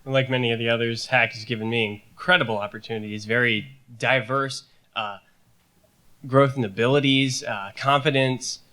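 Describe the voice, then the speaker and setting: Young man, student panelist, earnest, hesitant, bright forceful tone. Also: mid-range voice, fairly even intonation, moderate pace. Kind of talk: panel storytelling.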